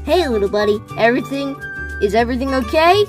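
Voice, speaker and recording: A woman's voice: high-pitched, lively intonation, fast talker, over music. A woman voicing a cocky, casual young male hedgehog hero in an amateur cartoon comic dub, slangy and wry. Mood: friendly, curious.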